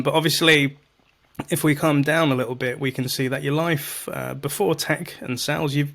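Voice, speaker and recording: A man's voice: medium pitch, a bit bright. A man hosting a casual podcast interview over a video call, enthusiastic and chatty. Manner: enthusiastic, chatty, laughs often. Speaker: podcast host.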